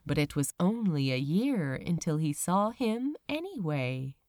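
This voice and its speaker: Unhurried, expressive woman reading a story. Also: low voice, dry recording, studio quality. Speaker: audiobook-style reader of fan fiction. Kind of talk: scripted story narration.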